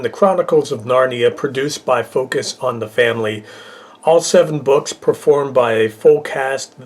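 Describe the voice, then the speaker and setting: A middle-aged man hosting a casual top-ten review video, talking informally. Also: mid-range voice. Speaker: vlog host, reviewer.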